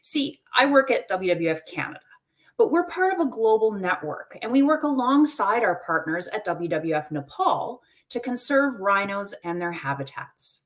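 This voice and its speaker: A woman's voice: medium pitch, sing-song, moderate pace. A woman hosting a live wildlife webinar, friendly and upbeat, talking conversationally over a video call. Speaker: host.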